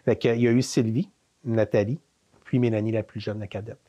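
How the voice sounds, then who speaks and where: A man's voice: on the low side, fairly even intonation, brisk, warm tone, dull audio. A man in his fifties with a Quebec French accent, telling family stories as an interview guest, with many fillers and restarts. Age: 50s. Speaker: interview guest, manor co-owner. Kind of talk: casual storytelling interview.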